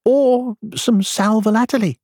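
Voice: medium pitch, unhurried, darkish tone, no echo, studio quality, pitched above the performer's own voice, lightly acted. A narrator voicing a polite, curious young girl from a storybook. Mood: helpful.

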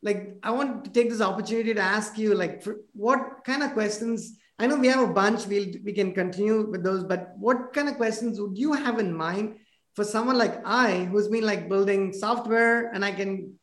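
This engineer wanders through thoughts out loud, full of fillers, restarts and tag questions, in a relaxed video-call chat. The voice sits at a middle pitch. The sound bounces around an echoey room. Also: moderate pace. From abroad, now living near Washington DC. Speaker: software engineer asking questions. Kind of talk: casual video-call conversation.

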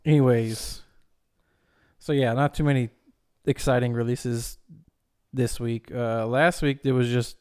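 A man's voice: mid-range voice, steady tone, a little slow, long pauses, a little mellow, smooth. Easygoing young man in casual chat. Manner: easygoing, teasing. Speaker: podcast co-host.

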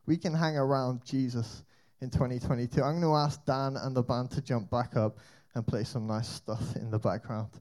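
A young man giving a lively, conversational youth talk, telling stories and asking rhetorical questions. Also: medium pitch, normal speed. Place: church hall with band.

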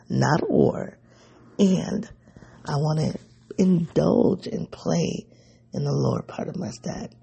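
A raspy, sleepy man speaks in a rambling, self-searching vlog monologue. His voice is deeply gravelly and husky, with a dark, mellow tone. His pitch swings widely as he muses. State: sleepy, voice going. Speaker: vlogger. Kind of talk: personal reflective monologue.

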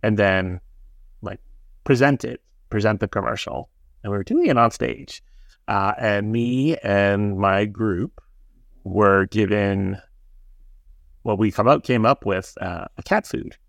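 A man's voice: fairly low pitch, slow pace, vocal fry, dry recording, studio quality. A man co-hosting a podcast, telling stories in a rambling way and correcting himself as he goes. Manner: rambling, self-correcting storyteller. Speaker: podcast co-host. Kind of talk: casual chat.

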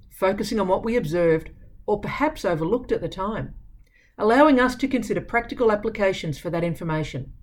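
A woman columnist narrating her own written piece in a scripted reading style. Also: mid-range voice.

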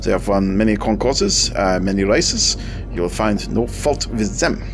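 A man's voice: on the low side, measured pace, over music, close to an everyday voice. A man playing a domineering German villain. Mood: commanding. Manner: curt, domineering, drill-sergeant tone. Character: commanding German male racing car dealer, about forty.

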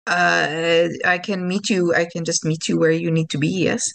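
A woman's voice: on the low side, level tone, moderate pace, gravelly. A woman talking casually as a player in a tabletop roleplaying game, hesitant and full of questions. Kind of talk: casual improvised game talk.

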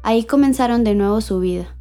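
A woman giving measured, scripted documentary narration as the host. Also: a little high, warm tone, faint music behind.

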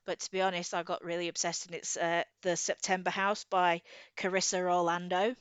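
A woman's voice: on the low side, little pitch movement, brisk, clean tone, no echo. A woman co-hosting a podcast in a casual, rambling, enthusiastic chat, giving recommendations. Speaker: podcast co-host.

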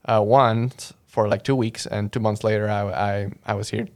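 A man's voice: on the low side, steady tone, moderate pace, darkish tone. A young man from Mexico, a guest in a casual interview, telling his story in a rambling way full of fillers and restarts. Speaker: guest, audio engineer.

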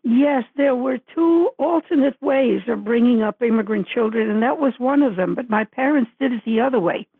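An older woman from the Dominican Republic, a native Spanish speaker, telling stories thoughtfully with many pauses over the phone. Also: on the high side, dry recording. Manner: thoughtful, measured, many uhs. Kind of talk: interview storytelling. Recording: gravelly phone line.

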